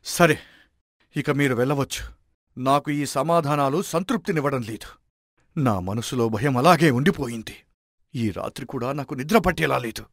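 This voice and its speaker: A man's voice: medium pitch, moderate pace, no room sound, studio quality, pitched below the performer's own voice, close to an everyday voice. A man playing an anxious, doubting king who searches for hope, speaking formally and questioningly in a dramatized moral story.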